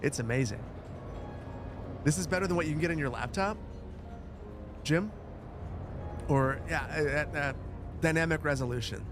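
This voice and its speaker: A man's voice: a little high, normal speed, pauses often, over music. A man live-streaming a city-building game, reading chat and joking about the bad graphics, chuckling often and stumbling over fillers and restarts.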